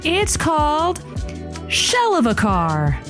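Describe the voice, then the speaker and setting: A woman's voice: high-pitched, huge pitch swings, music in the background, heavily acted. A woman playing a suggestive, innuendo-loving radio advice host in a comic audio drama. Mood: sultry, affectionate. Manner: slow, suggestive delivery. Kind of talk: comic radio show acting.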